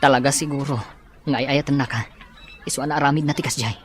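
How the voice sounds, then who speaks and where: An actor with a Filipino accent playing a doubting, protective young boyfriend in a radio drama. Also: male voice, mid-range voice, steady tone, fast talker, slight background noise, close to an everyday voice. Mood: doubtful.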